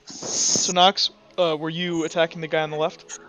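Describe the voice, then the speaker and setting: Bright, high-voiced man running a tabletop game. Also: flat delivery, normal speed, slight background noise, low-quality recording. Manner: casual, profane. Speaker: game master. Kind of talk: narrating and refereeing an online tabletop game. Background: music.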